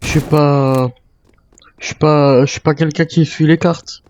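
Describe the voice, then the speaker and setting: A man role-playing a military survivor in an online zombie game, speaking in halting, improvised phrases. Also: fairly high pitch, steady tone, a little mellow, dry recording. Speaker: video game role-player. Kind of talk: improvised in-character game chat.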